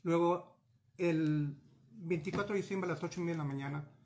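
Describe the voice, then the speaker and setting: Un hombre de unos cuarenta años, de México, cuenta por videollamada desde un pequeño despacho una historia personal, de forma animada, gesticulando y con muchos titubeos y frases reiniciadas. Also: male voice, fairly high pitch, fairly quick. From Mexico. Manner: animated, gesturing, many restarts and fillers. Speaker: interviewee. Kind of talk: recounting a personal story. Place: small home office with bookshelves. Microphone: video call webcam.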